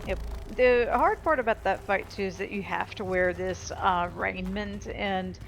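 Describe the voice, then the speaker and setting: A woman's voice: mid-range voice, measured pace, poor audio. Casual woman gamer over game music. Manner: sarcastic asides. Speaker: video game commentator. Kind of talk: casual gameplay commentary. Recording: game music clearly behind the voice. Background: video game music.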